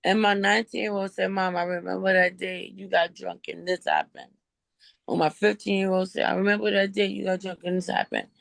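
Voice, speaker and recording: A woman in her forties with a raspy voice, speaking earnestly and a bit apologetically on a video support call. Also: medium pitch, animated, fairly quick, no room sound, studio quality. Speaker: support group member. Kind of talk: casual group chat.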